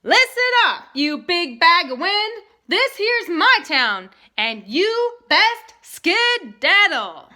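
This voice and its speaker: Woman putting on a bellowing, high-pitched cowboy boy. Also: very animated, exaggerated voice. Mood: defiant, bellowing. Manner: bellowing, drawn-out shouts in folksy Western talk. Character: extremely loud, brash young cowboy-talking boy.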